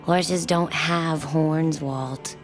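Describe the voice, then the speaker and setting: A teasing, motherly flirt from a small town in an audio drama. She takes her time, with plenty of gravelly creak, over faint music. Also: low voice, fairly even intonation, extremely slow, exaggerated voice. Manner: teasing, coaxing.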